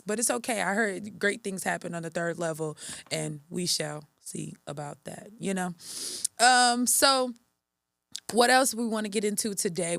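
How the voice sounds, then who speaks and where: A woman's voice: mid-range voice, creaky, fairly bright tone. An upbeat young woman in her late twenties from Texas talks through her own solo podcast episode. She chats casually, full of ums and self-corrections. The sound is dry and close, with no room echo. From Texas, USA. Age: 20s. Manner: upbeat and chatty, with frequent ums and restarts. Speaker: podcast host.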